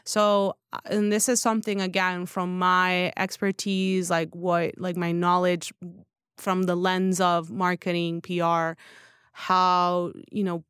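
A woman's voice: on the low side, flat delivery, slow pace, fairly bright tone, clear, resonant tone, no echo, clean studio sound. A woman speaking as a podcast guest in casual, opinionated chat, rambling informally with lots of filler phrases. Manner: informal, rambling, peppered with "like" and "you know". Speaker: podcast guest, newsletter writer.

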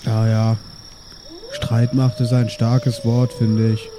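A man's voice: mid-range voice, level tone, slow, background noise. A man improvising dry, grudging in-character remarks as a player in a tabletop game. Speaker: role-player playing a dwarf brother.

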